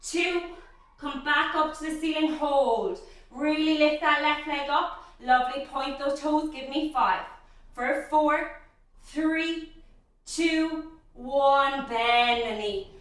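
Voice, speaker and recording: Croaky woman counting through Pilates. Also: sits high, slow, a bit bright, heavy reverb, recorded from a distance. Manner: encouraging counts with frequent praise. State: croaky dry voice. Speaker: Pilates instructor. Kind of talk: cueing a workout class.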